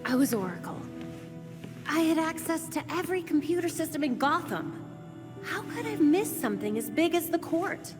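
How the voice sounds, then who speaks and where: A woman's voice: high voice, very animated, moderate pace. A woman playing an earnest young female vigilante in a video game cutscene. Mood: regretful. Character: earnest young woman vigilante, self-critical computer expert. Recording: reverberant hall, music behind.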